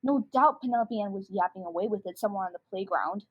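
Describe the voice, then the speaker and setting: A woman's voice: mid-range voice, fast talker, slightly rough, narrow, tinny audio, close to an everyday voice. A girl of about twelve playing an exasperated, scheming schoolgirl who narrates her own confession in a comedic radio drama. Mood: scheming, smug. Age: child about twelve.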